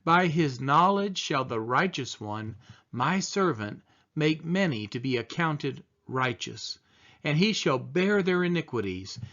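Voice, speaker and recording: A man reading the Bible aloud solemnly, in measured, deliberate phrases with pauses. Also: a little high, very animated, fairly bright tone. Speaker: scripture reader. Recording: dull, lo-fi sound.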